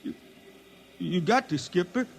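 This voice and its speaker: Old blind space drifter, folksy halting drawl, higher-set voice, homesick, pleading, noisy vintage radio recording. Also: male voice, expressive, creaky, heavily acted. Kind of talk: old-time radio drama acting.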